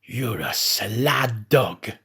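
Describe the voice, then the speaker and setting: A jovial manservant from a storybook, acted out by a male reader, teases with a sly, bantering manner. The voice is husky and swings widely in pitch. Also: lively intonation, extremely slow, sharp tone, heavily acted. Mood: teasing, jovial. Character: bantering, hearty French manservant, sly and teasing.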